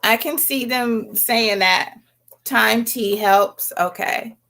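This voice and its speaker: Casting: middle-aged woman, casual livestream host, slightly unhurried pace, gravelly fry in the voice, informal and chatty. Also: fairly high pitch, fairly bright tone. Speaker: host of a home herbalism livestream. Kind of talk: casual livestream chat, reading and answering comments.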